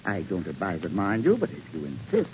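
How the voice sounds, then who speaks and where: An actor playing a deranged, vain doctor, courtly and condescending, in theatrical 1940s radio style. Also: male voice, lowish voice, wildly swooping pitch, brisk, vocal fry, a little mellow, full character voice. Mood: falsely courteous. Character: sinister, deranged male doctor, smoothly polite and vain. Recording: dull, noisy 1940s radio recording.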